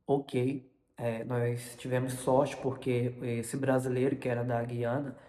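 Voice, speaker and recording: A young man with a Brazilian Portuguese accent tells a story informally, in a halting way, often repeating and correcting his words. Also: mid-range voice, flat delivery, dark tone. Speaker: vlogger recounting personal experience. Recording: long reverberant room echo.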